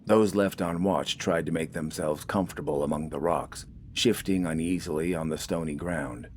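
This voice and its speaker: A man's voice: extremely low pitch, moderate pace, darkish tone, a little hiss or hum. A man reading scripted fiction as a story narrator.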